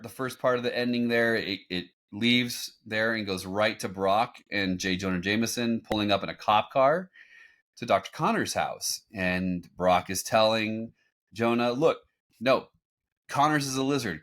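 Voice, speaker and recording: Low-voiced man recapping a show. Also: normal speed, fairly bright tone. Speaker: podcast host. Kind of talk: casual recap commentary on a cartoon. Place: home room, on a group video call.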